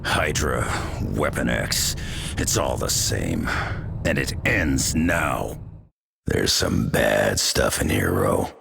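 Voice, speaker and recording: A gruff feral mutant brawler in a video game, his voice very deep and badly gravelly, with a slow and uneven delivery. He talks in terse, tough lines, world-weary and blunt. A rumbling noise sits under the game voice line. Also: very animated, fairly bright tone, full character voice. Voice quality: gravelly, growling.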